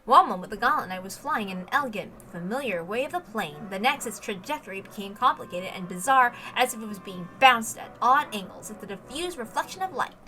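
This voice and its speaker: A woman's voice: on the high side, animated, a little fast, few pauses, bright, forceful tone, low-quality recording. A woman reading a story aloud as dramatic scripted narration, with emphatic, theatrical delivery. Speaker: reader of a visual novel.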